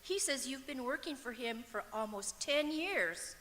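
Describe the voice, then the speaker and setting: A woman playing a polite, naive young fiancée in a radio sitcom. Her voice is bright and fairly high, ringing in an echoey auditorium. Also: lively intonation, unhurried. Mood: polite, admiring. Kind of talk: old-time radio comedy acting on stage. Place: stage of a performing arts auditorium. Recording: echoey auditorium with long reverb.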